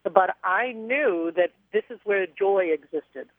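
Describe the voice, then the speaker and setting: A woman's voice: mid-range voice, takes it slowly, no room sound. A woman singer telling stories as a guest in a radio interview, chatty and reflective, heard over a phone line.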